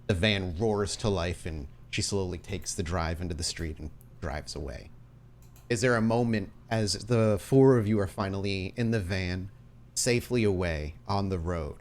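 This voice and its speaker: A man's voice: low-pitched, slight background noise. A man working as game master, narrating tabletop scenes descriptively.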